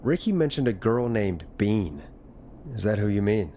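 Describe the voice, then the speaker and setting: An actor playing a radio DJ in a horror game, measured, dry and probing as he questions a caller. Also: on the low side, sing-song, warm tone, a little hiss or hum. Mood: concerned, probing. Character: middle-aged radio DJ calmly probing a dark mystery. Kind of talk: dramatic video game voice acting.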